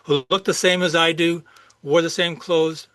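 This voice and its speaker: A man's voice: a little high, little pitch movement, moderate pace, a bit bright, no echo. A man with a Chicago accent, talking casually in a group discussion with frequent restarts. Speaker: discussion participant.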